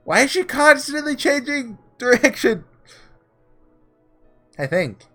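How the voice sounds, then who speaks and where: A man's voice: very high voice, big pitch swings, slow, bright, forceful tone. A man doing casual let's-play commentary, thinking aloud as he reads through a visual novel. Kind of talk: casual gameplay commentary mixed with read-aloud dialogue. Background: background music.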